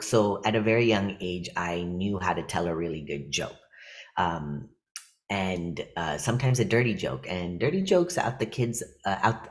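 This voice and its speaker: A man from California giving a casual, reflective talk to an online workshop over a video call, loose and conversational with fillers and restarts. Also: fairly low pitch. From California, USA. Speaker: workshop presenter and comedian.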